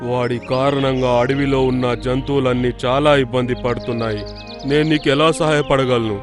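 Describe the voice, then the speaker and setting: A man voicing a stern, resolute lion king of the forest in a children's cartoon, with a measured, authoritative manner. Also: medium pitch, fairly even intonation, over music, close to an everyday voice. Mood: grave, concerned. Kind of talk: Telugu children's cartoon voice acting.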